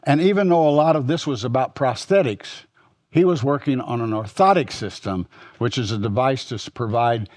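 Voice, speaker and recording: A man's voice: mid-range voice, normal speed, darkish tone, no room sound, studio quality. Older Texan man reminiscing in a lecture. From Texas, United States. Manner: rambling, reminiscing, with frequent restarts and fillers. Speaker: symposium speaker. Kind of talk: historical lecture with slides.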